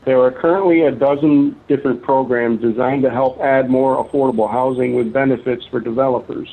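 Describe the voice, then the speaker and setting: A man's voice: mid-range voice, fairly even intonation, fairly quick, vocal fry, dark tone. A man reading scripted material aloud, heard over a tinny line.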